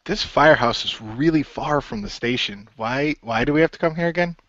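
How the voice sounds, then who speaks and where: A man's voice: medium pitch, a little fast, a bit bright, no room sound, highs cut off. A man improvising as a role-play player in a casual, understated manner. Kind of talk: improvised in-character dialogue, casual.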